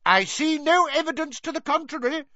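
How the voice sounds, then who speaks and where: Audiobook: male reader as stubborn, blustering government wizard, pompous and indignant, pitched high and very animated. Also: measured pace, dry recording, highs cut off, full character voice. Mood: shouting outrage. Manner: indignant, pompous, shrill when outraged.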